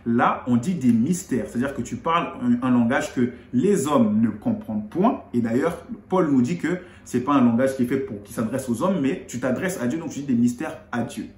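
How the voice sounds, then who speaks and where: A man from Congo explaining Bible verses in a relaxed, conversational way, rising in pitch on key points. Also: normal speed, few pauses. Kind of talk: explaining scripture in casual chat. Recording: echoey room.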